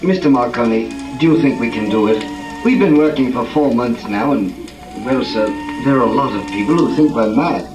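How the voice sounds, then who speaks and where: A man's voice: mid-range voice, lively intonation, moderate pace, over music, close to an everyday voice. Man acting anxious assistant, echoey old radio play. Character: anxious, deferential male assistant to an inventor. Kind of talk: 1930s radio drama acting. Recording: crackly 1930s record, echoey distant playback.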